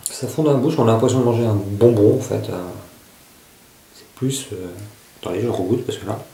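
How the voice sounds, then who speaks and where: A man's voice: on the low side, brisk, dark tone, a little hiss or hum. A man casually reviewing survival gear to camera. He often hesitates and searches for his words. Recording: echoey room.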